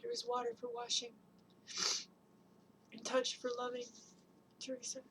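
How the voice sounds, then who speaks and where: A woman giving a dramatic spoken-word performance, chanting long repeated lists in an incantatory way and swinging between hushed whispers and loud cries. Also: high-pitched, very animated, normal speed, vocal fry, a little hiss or hum.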